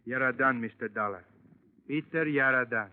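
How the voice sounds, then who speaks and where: A man's voice: mid-range voice, phone-quality sound, close to an everyday voice. Stiffly polite radio henchman, played by a man. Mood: politely firm. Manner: halting, overly formal. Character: polite, bumbling armed henchman. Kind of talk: 1950s radio drama acting. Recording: thin, clicky old radio recording.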